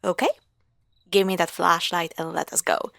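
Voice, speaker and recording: A woman playing a playful, sarcastic young girlfriend in a spooky roleplay audio drama, teasing and expressive. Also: on the low side, moderate pace, creaky, no room sound. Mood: casual, slightly impatient. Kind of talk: spooky roleplay audio drama, acted one-sided dialogue.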